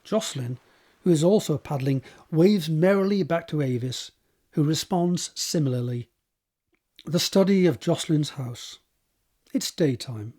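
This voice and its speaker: Mellow, higher-pitched male narrator. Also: animated, unhurried. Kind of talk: scripted narration of audio drama stage directions.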